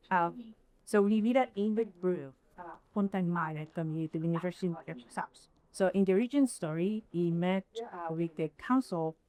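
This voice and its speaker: A woman from Chile with a Chilean Spanish accent, leading a workshop session and often pausing to search for words. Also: on the low side, a little mellow, dry recording. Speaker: workshop presenter.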